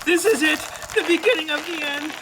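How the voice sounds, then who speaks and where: A woman's voice: sits high, brisk, heavy background noise, lightly acted. A woman playing a fretful, melodramatic male space scout who predicts doom in a sci-fi comedy radio drama. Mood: doom-laden panic.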